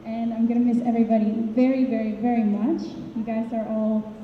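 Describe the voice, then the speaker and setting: Tearful teacher's farewell in an echoey hall. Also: female voice, a little high, level tone, a little fast. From Peru. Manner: hesitant, many restarts and fillers. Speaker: departing Hebrew school teacher. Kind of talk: emotional farewell speech. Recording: echoey hall, tinny phone-like sound, faint hiss.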